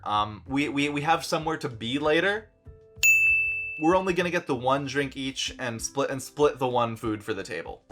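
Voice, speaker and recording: A young man tells a travel story in a lively, joking way, slipping in dry asides. His voice is bright and a touch high. Soft music plays under him. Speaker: vlogger. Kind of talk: casual storytelling vlog.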